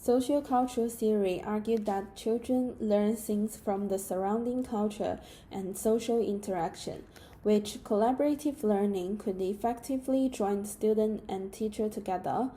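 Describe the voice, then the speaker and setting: Young female student presenter, Mandarin native with Chinese accent, deep mellow timbre, measured read-aloud delivery. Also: a little hiss or hum. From China. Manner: steady, careful reading of a prepared script.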